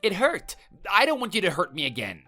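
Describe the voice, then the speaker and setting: A man's voice: high voice, huge pitch swings, a little fast, sharp tone, faint music behind, voice pushed up, exaggerated voice. A man putting on a whimpering, cowardly neighbor. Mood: panicked. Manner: stammering, pleading, apologetic. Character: cowardly, whining male neighbor who grovels.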